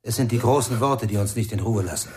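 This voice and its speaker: An actor playing a calm, stoic man in a radio crime drama, speaking in a measured, terse and unyielding way. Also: on the low side, slight background noise, close to an everyday voice. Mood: stern, philosophical. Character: calm, stoic male guardian of an addict.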